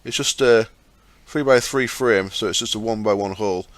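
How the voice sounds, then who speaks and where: Croaky-voiced man walking through his game. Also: fairly low pitch, moderate pace, no room sound. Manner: chatty, explains step by step with fillers. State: sore, croaky throat. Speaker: gaming channel host. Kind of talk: casual game commentary.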